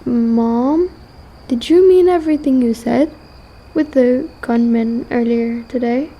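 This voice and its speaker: A woman's voice: a little high, slow, mellow, slightly rough, full character voice. A woman playing a guilt-ridden but resolute teenage war refugee in an amateur radio drama. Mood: grateful, subdued.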